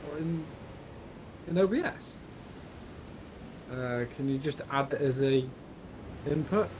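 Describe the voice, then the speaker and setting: A man's voice: medium pitch, slow pace, noisy background. A young man, casually bantering and swearing while streaming a co-op game. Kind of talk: casual co-op gameplay chat. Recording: game music behind voice.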